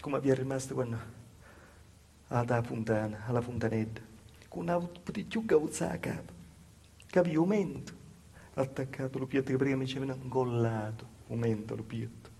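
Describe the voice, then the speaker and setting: A man's voice: medium pitch, steady tone, moderate pace, vocal fry, warm tone, slightly rough. An actor playing a humble, wronged southern Italian village woman in a plaintive theatrical monologue, with a Calabrian accent and repetitive storytelling. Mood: bitter, suffering. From southern Italy, Calabria. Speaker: stage actor performing a monologue. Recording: live stage performance with music. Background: live music.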